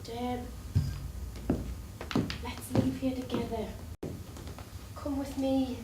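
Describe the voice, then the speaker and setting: High-voiced devoted lover, noisy echo. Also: female voice, little pitch movement. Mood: pleading, hopeful. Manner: earnest, deliberate, measured phrasing. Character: devoted, pleading woman in love, self-sacrificing dreamer. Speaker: stage actor. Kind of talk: theatrical stage drama dialogue. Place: theatre stage, distant microphone. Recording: echoey theatre recording, distant, clearly noisy.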